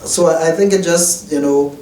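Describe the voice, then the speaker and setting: A man's voice: a little high, moderate pace, dark tone. Man, young adult, hesitant reflective talk, distant mic. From Trinidad and Tobago. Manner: hesitant, many restarts and "you know" fillers. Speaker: newspaper reporter. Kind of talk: reflective interview answers. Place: newspaper office. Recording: roomy, distant mic, faint noise.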